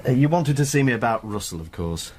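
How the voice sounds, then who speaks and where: An actor playing a polite, evasive accountant in a radio drama, fussy, apologetic and hedging. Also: male voice, medium pitch, animated, fast talker, darkish tone, slightly rough. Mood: courteous, flustered. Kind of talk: naturalistic radio drama acting.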